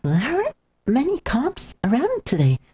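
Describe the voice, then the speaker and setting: A woman's voice: high voice, huge pitch swings, normal speed, mellow, slightly rough, heavily acted. A woman voicing a playful, upbeat teenage girl assistant in a voiced visual novel playthrough. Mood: breezy. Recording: faint game music behind. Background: video game music.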